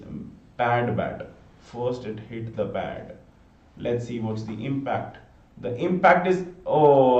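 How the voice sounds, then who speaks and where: An Indian-accented man with a low, mellow voice calls a cricket match on his own, chatty and prone to sudden excited outbursts. He sits back from the mic in an echoey room, and the sound is dull and lo-fi. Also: distant mic. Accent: Indian English. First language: Hindi. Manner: chatty, pleading for subscriptions, sudden excited exclamations. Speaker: live cricket commentator. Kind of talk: solo live cricket commentary. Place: home room, bundled up against the cold.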